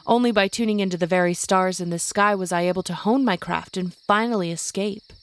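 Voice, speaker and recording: A woman's voice: medium pitch, clear, resonant tone, natural voice. Woman playing a grave, hardened witch leader. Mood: grave, bitter. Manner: grave, commanding, measured. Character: hardened older witch, protective leader of a coven. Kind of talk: audio drama acting.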